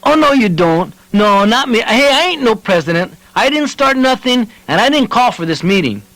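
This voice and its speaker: Casting: man as stubborn small farmer in an audiobook novel, blunt, defiant, spluttering, voice pushed high, dry sound. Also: very animated, normal speed, a bit bright, no room sound, heavily acted, rough recording. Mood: exasperated. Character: hot-tempered stubborn male bean farmer, defiant loner.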